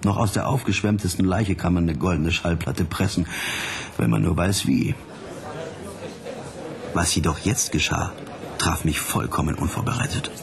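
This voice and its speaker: A man's voice: sits low, steady tone, normal speed, gravelly, long pauses. An actor playing a wry, hard-boiled pop detective who narrates his case in a dry, laconic way in a radio drama.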